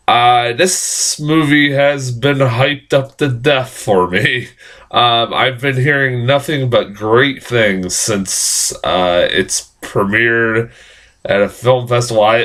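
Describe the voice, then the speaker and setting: Young male reviewer, low-ish voice, hoarse and nasal from a cold, loose and profane delivery. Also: fairly bright tone. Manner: rambling, frequent fillers and profanity. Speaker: film reviewer. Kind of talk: casual vlog review.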